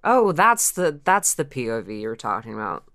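A woman's voice: rumbling low, big pitch swings, moderate pace, a bit bright, no echo, clean studio sound. A woman co-hosting a podcast, chatting casually and playfully, with some swearing. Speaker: podcast co-host. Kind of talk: casual chat.

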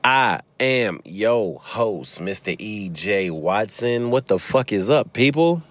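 A podcast host with a Chicago accent rambles through a loose, profane monologue while sitting in his car. His voice sits on the low side for a man, and he laughs often and swears freely. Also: very animated, takes it slowly, no echo. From Chicago, USA. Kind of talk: casual solo podcast monologue.

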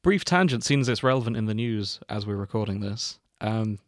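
A man's voice: on the low side, animated, brisk, no room sound, clean studio sound. A man hosting a podcast in casual comedic chat, joking and swearing freely. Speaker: podcast host.

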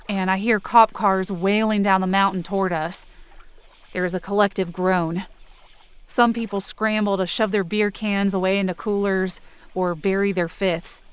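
A woman's voice: mid-range voice, smooth, natural voice. A woman playing a panicked young woman, narrating a desperate scene in the first person with urgent intensity for a fiction podcast. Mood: tense, exhausted. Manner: urgent, intense, breaking into cries.

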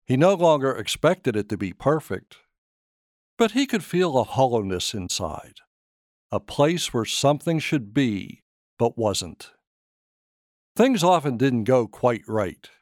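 A man reading his own short story as scripted narration, in a measured, expressive storytelling manner. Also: mid-range voice, no room sound, clean studio sound.

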